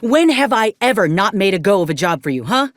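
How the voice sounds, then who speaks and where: A woman's voice: mid-range voice, sing-song, brisk, no room sound, natural voice. An actress playing a plainspoken, stubborn woman soldier in a video game, with folksy, dry, blunt phrasing. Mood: angry, defiant. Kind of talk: video game character dialogue.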